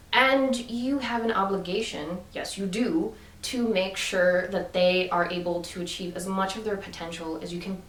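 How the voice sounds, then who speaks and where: A woman's voice: medium pitch, a little hiss or hum. A woman vlogging in a casual, chatty storytelling way, full of fillers and restarts. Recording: echoey room from a distance with faint ambience.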